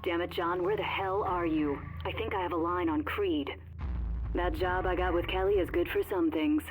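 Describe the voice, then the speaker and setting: A woman's voice: lowish voice, steady tone, normal speed, natural voice, poor audio. An actress playing a brash, world-weary female informant in video game cutscene dialogue, talking bluntly and informally. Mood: urgent, blunt. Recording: loud music over the voice.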